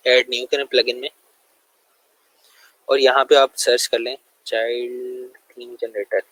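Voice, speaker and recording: A man's voice: medium pitch, little pitch movement, measured pace, pushed and bright, slightly rough, dry recording, poor audio. A man with a sore throat, giving a loose, conversational screen-share lesson full of fillers. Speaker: web development tutor.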